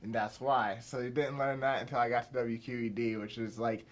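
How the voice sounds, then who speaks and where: A young man from Pittsburgh talks casually as an interview guest, at a middle pitch for a man. He rambles, full of "like" and "you know", and often restarts his sentences. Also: little pitch movement, a little fast. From Pittsburgh, USA. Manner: rambling, many fillers and restarts. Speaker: filmmaker guest.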